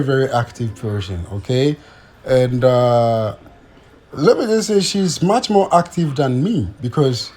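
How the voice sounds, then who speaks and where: A man's voice: on the high side, darkish tone. A man from Ghana chatting casually to his vlog audience outdoors, hesitant, with many fillers and restarts. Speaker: vlogger.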